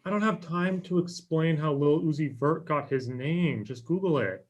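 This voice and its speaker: Man playing an earnest, high-voiced young guy. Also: normal speed, close to an everyday voice. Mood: exasperated. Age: young adult. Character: anxious, eager-to-please 24-year-old man. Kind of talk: table read acting. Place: room at home, indoors. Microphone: video call.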